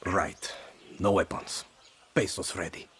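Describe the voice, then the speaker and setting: An actor playing a blunt ex-military guerrilla recruit who speaks in short, dry lines in a video game. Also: male voice, low voice, fairly even intonation, slow pace, background noise. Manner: terse, dry questions. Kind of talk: video game cutscene acting. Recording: game audio with music under.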